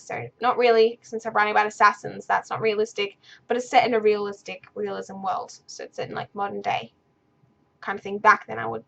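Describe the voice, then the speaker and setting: A woman's voice: medium pitch, gravelly. A young woman vlogger in her bedroom, answering viewer questions in a rambling, stuttering, unscripted way. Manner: stutters and restarts, rambling and easily sidetracked. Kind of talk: casual unscripted talk to camera.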